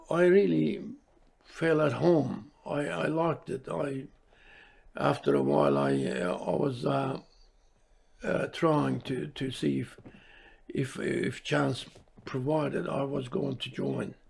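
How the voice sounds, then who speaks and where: An older man from Iran speaks reflectively in an interview, halting and searching for his words. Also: mid-range voice, very animated, creaky, husky. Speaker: service club member interviewed.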